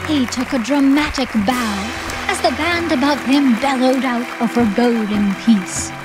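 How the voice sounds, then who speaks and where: A woman's voice: high-pitched, a little slow, over a noisy crowd, rough recording. A woman reading scripted, dramatic audiobook narration. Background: music.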